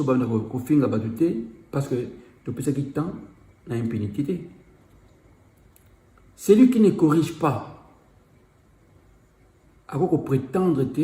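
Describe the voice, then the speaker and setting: Man, Congolese accent, exhorting public address, repeats key phrases, mellow low-toned voice, roomy echo. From Democratic Republic of the Congo. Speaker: community speaker.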